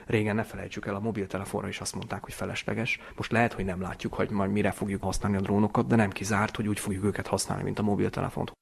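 A man's voice: lowish voice, creaky, breathy edge. A man speaking in an interview, explaining things at length in a conversational way.

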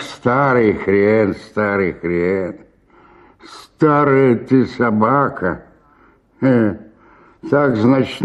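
A slow, boozy old comic actor. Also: male voice, medium pitch, phone-quality sound. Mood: self-mocking. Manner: theatrical muttering that swings into hollering. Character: boozy 68-year-old male comic actor, self-mocking, grumbling. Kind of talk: radio play acting.

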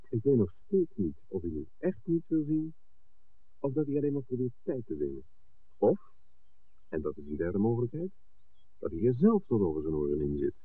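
An actor playing a suspicious, stubborn man in a 1960s radio drama, probing and plain-spoken. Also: medium pitch. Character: suspicious, stubborn man scouting a film location. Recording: tinny, muffled 1960s radio recording.

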